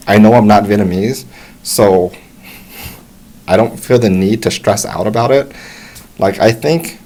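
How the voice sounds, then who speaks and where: A young American man giving relaxed, encouraging advice to English learners, talking casually with frequent restarts. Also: on the low side. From United States. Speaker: English tutor. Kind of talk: casual talk to camera.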